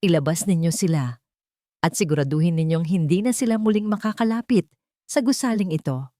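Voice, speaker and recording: A Filipino woman voicing a stern, protective, authoritative businessman with slow menace in a dramatic story. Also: on the low side, mellow, dry recording, clean studio sound, lightly acted. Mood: cold command.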